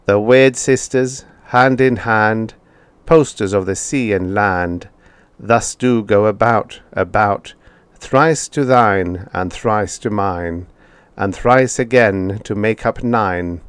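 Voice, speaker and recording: An emotionless machine teacher, given voice by a male narrator, speaks in a flat metallic tone. The delivery is slow, low and carefully measured, without feeling. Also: smooth, no echo. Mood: instructive. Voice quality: metallic, even, unfeeling.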